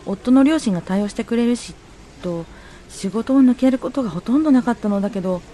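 Woman acting a polite, worried wife, fairly high-voiced. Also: brisk, warm tone, faint music behind, close to an everyday voice. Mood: reflective. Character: polite, worried working wife of a hospitalised husband. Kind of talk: amateur radio drama acting.

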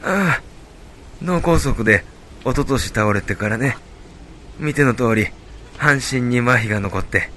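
A kindly retired patient acted by a man in an amateur radio drama, with a bright, forward voice. He is gentle and concerned, and there is faint background noise. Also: mid-range voice, lively intonation, a little slow, pauses often. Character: kindly retired male stroke patient with a cane.

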